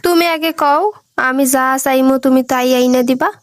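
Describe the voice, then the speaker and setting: A woman's voice: sits high, fairly even intonation, normal speed, natural voice. A woman with a Bangladeshi accent playing a stubborn, sulky, nagging young village wife in a comic cartoon. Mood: insistent, demanding. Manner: nagging, insistent.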